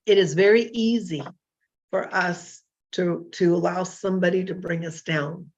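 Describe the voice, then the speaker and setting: Hoarse rambling woman in her fifties. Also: on the low side, fairly even intonation, measured pace, muffled recording. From California. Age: 50s. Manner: rambling, self-deprecating, with frequent fillers and restarts. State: hoarse from illness. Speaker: hypnotherapist hosting a show. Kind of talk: casual solo talk show.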